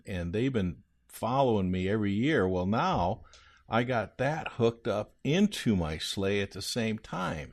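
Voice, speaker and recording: Man playing a low-voiced, folksy old Santa Claus. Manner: rambling, hesitant, folksy storytelling. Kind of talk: improvised in-character answers on a children's radio-style podcast.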